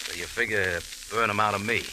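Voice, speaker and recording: A man's voice: lowish voice, fairly even intonation, fairly quick, natural voice. An actor playing a tough, wry American adventurer who narrates his own story in clipped slang in an old radio thriller. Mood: wry, defiant. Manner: clipped, slangy, hard-boiled. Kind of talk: 1940s-50s radio drama acting. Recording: hissy, crackly old radio recording.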